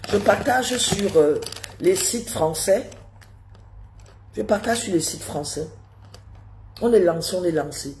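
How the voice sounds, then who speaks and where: A woman with a head cold ranting live to her viewers, indignant and scolding, repeating rhetorical questions. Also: on the high side. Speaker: live streamer commenting on politics. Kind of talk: live-stream rant to viewers.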